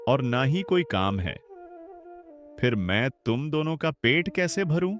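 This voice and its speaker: An actor playing a poor farmer and father in a cartoon, sorrowful and pleading. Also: medium pitch. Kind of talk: cartoon voice acting. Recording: music behind the voice.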